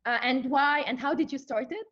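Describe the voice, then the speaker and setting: A woman's voice: sits high, moderate pace, a bit bright, lo-fi sound. A woman interviewing a guest, asking a polite and hesitant question. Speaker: student interviewer, host.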